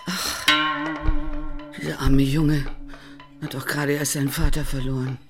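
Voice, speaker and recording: An actress playing an exasperated, soft-hearted village mother scolding her sons in a radio play. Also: extremely low pitch, little pitch movement, a little slow. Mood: pitying. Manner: scolding, emphatic. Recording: roomy, loud guitar music behind.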